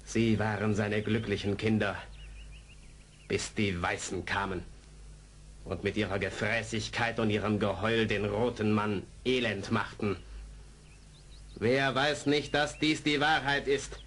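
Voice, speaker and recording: Slow, deep, proud warrior villain. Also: male voice, steady tone, a bit bright, slight background noise. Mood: solemn, bitter. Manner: slow, declamatory, solemn. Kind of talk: 1970s German radio play acting.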